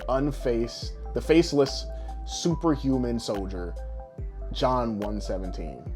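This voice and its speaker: Male podcast co-host, mid-pitched, informal and opinionated, some swearing, music underneath. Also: slow pace. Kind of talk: casual podcast chat about pop culture. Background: background music.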